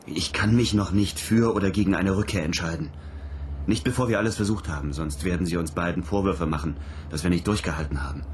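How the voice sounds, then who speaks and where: An actor playing a weary, brooding astronaut commander in a radio drama, speaking in an introspective, poetic and deliberate way. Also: male voice, lightly acted. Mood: troubled. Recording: noisy, rumbling spacecraft ambience.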